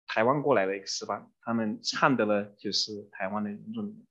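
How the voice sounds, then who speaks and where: Male consecutive interpreter for a sermon, husky and mid-pitched, following each phrase, on a muffled dull recording. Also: brisk, a bit bright. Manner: renders each phrase promptly, with some fillers.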